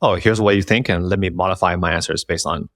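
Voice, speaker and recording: Deep-voiced male podcast host, informal. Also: fast, fairly bright tone, no room sound, clean studio sound. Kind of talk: casual chat.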